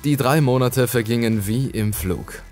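A man's voice: mid-range voice, measured pace, a little mellow, faint music behind. A man reading a scripted first-person horror story as a dramatic narrator.

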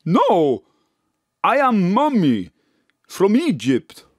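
A man's voice: high-pitched, huge pitch swings, very slow, no room sound, studio quality, full character voice. Wildly swooping, clownish man. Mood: sheepish, protesting. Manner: broken English, frequent tag words. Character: excitable, smitten, clownish Greek man with broken English. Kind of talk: comic audio drama acting.

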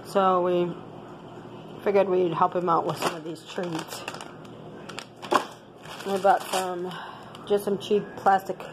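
A woman with a blocked, stuffy nose talks through a casual show-and-tell in a flat, level voice. She speaks on a phone held in her home kitchen, and there is clear hiss and noise under her voice. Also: lowish voice. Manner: plain listing, unhurried, unscripted. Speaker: family channel host. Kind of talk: grocery haul show-and-tell. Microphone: handheld phone camera. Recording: noisy home phone recording.

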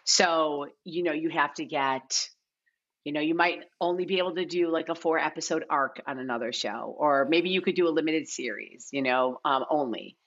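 A woman's voice: low-pitched, moderate pace, fairly bright tone, muffled recording. A middle-aged woman speaking casually as an interview guest, thinking aloud and repeating phrases as she explains. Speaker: casting director, podcast guest. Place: bright living room at home, indoors.

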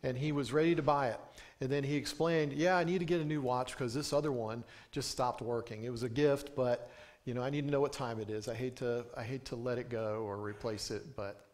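A man's voice: medium pitch, steady tone, fairly quick, a little mellow. A man in his thirties, a pastor giving a sermon from a pulpit microphone in a small church, telling stories in an earnest way and lifting his voice for emphasis. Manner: earnest storytelling with emphatic rising lines. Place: small church sanctuary, indoors.

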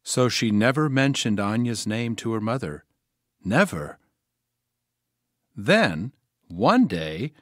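Animated, earnest young servant. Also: male voice, on the low side, takes it slowly, pauses often, dry recording, clean studio sound, heavily acted. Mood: narrating. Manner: deferential, storytelling. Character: earnest, polite young male servant who narrates. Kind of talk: audio drama acting and narration.

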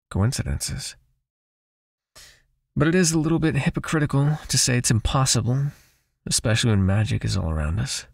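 A man playing a seductive, possessive vampire hypnotist in an intimate ASMR roleplay, soothing and teasing as he gently commands. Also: mid-range voice, gravelly, husky, no echo, clean studio sound, full character voice.

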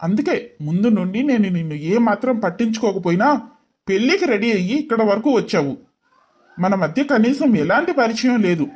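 A woman plays an angry young husband in a dramatized story, pitched well below the storyteller. He is cold and accusing, and speaks with open contempt. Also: lively intonation, normal speed, darkish tone, slightly rough. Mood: contemptuous, disgusted.

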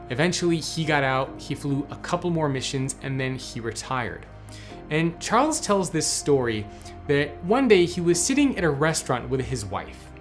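A man in his thirties hosting a leadership show, earnestly telling a story and addressing the viewer directly in a small studio. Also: a little high. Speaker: podcast host. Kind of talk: scripted motivational talk to camera. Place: small studio lit with neon tubes, indoors.

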